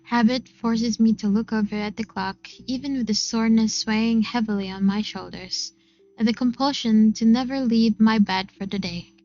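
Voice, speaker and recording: A woman reading a visual novel aloud in an expressive, unhurried first-person narration. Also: fairly high pitch, highs cut off. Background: game music.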